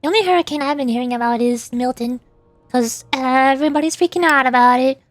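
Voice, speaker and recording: A woman's voice: high voice, fairly quick, no room sound. A woman streaming as a VTuber, chatting casually in a playful, rambling way during a game livestream.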